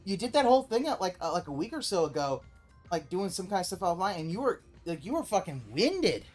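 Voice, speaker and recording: A streamer giving live reaction commentary on a video, ranting and cursing, sometimes breaking into loud yelling. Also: medium pitch, sing-song, fairly quick, faint music behind.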